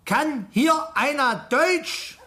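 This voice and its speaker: An actor playing an irritable, grumbling village police chief in a radio drama, gruff and exasperated. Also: male voice, extremely high pitch, expressive, extremely slow, pushed and bright, full character voice. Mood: angry, exasperated.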